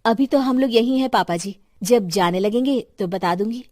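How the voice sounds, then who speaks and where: A woman voicing a kind eldest daughter-in-law, polite, calm and earnest, in a family story. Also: on the high side, moderate pace, no room sound, clean studio sound, natural voice.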